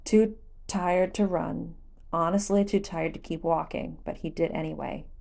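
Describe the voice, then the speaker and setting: Woman, lowish voice, scripted story narration, audiobook reader. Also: fairly even intonation, measured pace, creaky, a little mellow. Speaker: audiobook narrator of fan fiction.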